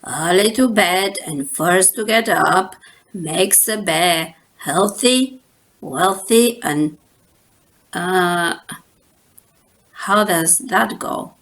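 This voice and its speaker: A woman voicing an earnest, fatherly male bear from a storybook, with a deliberate, homespun way of talking. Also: on the low side, a bit bright, voice pushed down, exaggerated voice. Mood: folksy, soothing.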